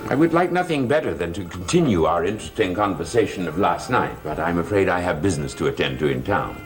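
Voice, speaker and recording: A suave, scheming gentleman of a gothic soap opera. His voice is low and swings widely in pitch, formal and theatrical. Music plays under the old TV soundtrack. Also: lively intonation, quick pace. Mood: courteous, charming. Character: courteous, insistent, scheming gentleman of a gothic household.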